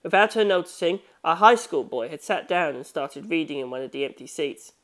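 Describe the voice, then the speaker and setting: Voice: mid-range voice, lively intonation, a little fast, a bit bright. A narrator reading a novel aloud as scripted audiobook narration.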